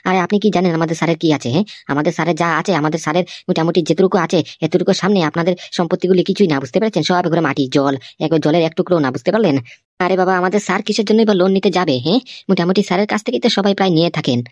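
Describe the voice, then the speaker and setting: A woman's voice: fairly low pitch, racing, a little mellow, no room sound, studio quality, close to an everyday voice. A woman voicing an indignant, fiercely loyal cartoon employee, insistent and confrontational, full of tag questions. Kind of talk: amateur cartoon dialogue.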